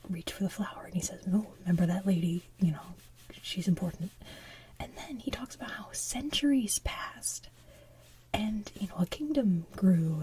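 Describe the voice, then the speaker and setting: Female ASMR host, mellow breathy whisper, chatty and rambling, gushing fan delivery. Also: medium pitch. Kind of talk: ASMR, casual whispered chat.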